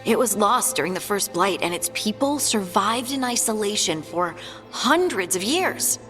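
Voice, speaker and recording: An actress playing an earnest, curious dwarf scholar in a video game, explaining things thoughtfully. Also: female voice, mid-range voice, animated, normal speed, fairly bright tone, close to an everyday voice. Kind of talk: video game character acting. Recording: long hall reverb, faint music.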